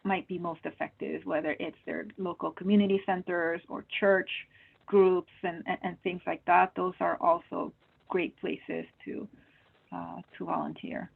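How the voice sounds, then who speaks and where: A woman speaks with a fairly low, gravelly voice. She answers webinar questions earnestly and thinks aloud as she goes, doubling back on her words. The sound is thin and narrow, like a video call heard over a phone line. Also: little pitch movement, unhurried. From Peru. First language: Spanish. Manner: earnest, thinking aloud with many repeated starts. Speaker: medical oncologist, panelist.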